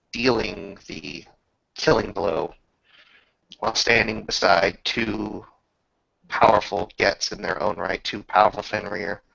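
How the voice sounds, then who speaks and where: A man with a lowish voice full of heavy creak narrates a tabletop fantasy game like a saga. He speaks slowly and grandly, leaving long pauses between phrases. The recording is slightly dull. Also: pushed and bright, dry recording. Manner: measured, grandiose, with drawn-out pauses. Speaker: game master. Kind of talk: dramatic storytelling narration for a tabletop roleplaying game.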